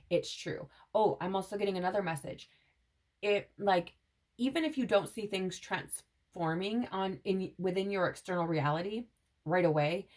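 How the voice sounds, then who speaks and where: A woman's voice: fairly low pitch, darkish tone. A woman giving a casual spiritual tarot reading to viewers, meandering and repeating "right?" as she channels messages, her throat a little scratchy. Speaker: tarot reader.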